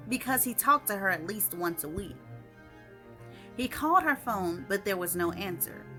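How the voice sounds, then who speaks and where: Female storyteller, bright forceful tone, expressive short-fiction read over light music. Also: mid-range voice. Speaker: author reading own short story.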